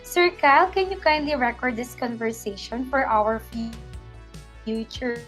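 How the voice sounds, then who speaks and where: A woman's voice: a little high, expressive, normal speed, fairly bright tone, faint music behind. A woman speaking politely to a client as a counselor on an online call.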